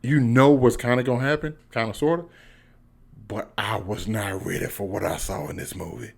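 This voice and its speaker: A man's voice: on the low side, moderate pace, gravelly. A man with an American accent giving a casual, slangy, emphatic one-take review as a YouTuber. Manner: slangy, informal, excitable, emphatic.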